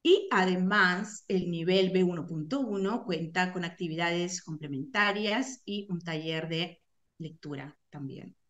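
A middle-aged Peruvian woman with a Peruvian Spanish accent walks teachers through course material on a video call. Her voice sits somewhat low, and she pauses often on fillers and restarts her phrases as she explains. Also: fairly bright tone, dull audio. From Peru. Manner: hesitant, many fillers and self-corrections. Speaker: Spanish teacher presenting a webinar. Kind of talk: webinar presentation.

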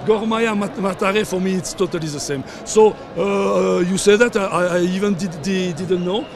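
An older man coaching football, speaking at a post-match press scrum outdoors, halting with many fillers and repeated words. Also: high-pitched, gravelly, crowd noise. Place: outdoors at a sponsor backdrop, surrounded by reporters. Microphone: cluster of handheld reporter mics and phones.

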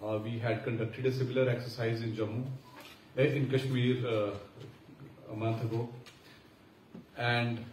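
A man with an Indian English accent giving a formal, measured speech at a conference, pausing with fillers. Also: mid-range voice, little pitch movement, darkish tone. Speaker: senior official giving keynote. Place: large conference hall. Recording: echoey hall from far off, faint hiss.

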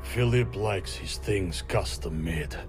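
A low-voiced, hulking brute from a video game, calm and formal, spelling things out with cool, measured confidence. Soft music runs faintly behind him. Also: male voice. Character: hulking naked cloned brute, calm and clever. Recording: game score playing behind.

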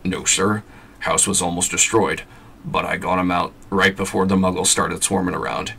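A man voicing a big, shaggy, soft-hearted male half-giant groundskeeper with a gruff, blubbering voice. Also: low voice, flat delivery, normal speed, distant mic, a little hiss or hum.

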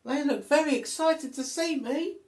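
A man's voice: extremely high pitch, moderate pace, roomy sound, pitched above the performer's own voice. A man voicing a small, brave young female monkey in a storybook, plucky, determined and emphatic. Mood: excited. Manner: exclaiming, emphatic.

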